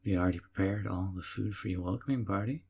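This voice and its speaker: An actor playing a sweetly possessive young yandere man in an audio roleplay, doting and softly teasing. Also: deep voice, fast, gravelly, breathy edge, exaggerated voice. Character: doting obsessive young male yandere captor.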